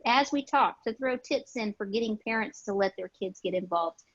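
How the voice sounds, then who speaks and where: A woman hosting a casual webinar discussion, brainstorming aloud with excitement and reading out chat suggestions. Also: on the high side, normal speed, breathy edge, no echo, dull audio. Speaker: webinar host. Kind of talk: casual panel discussion on a video call.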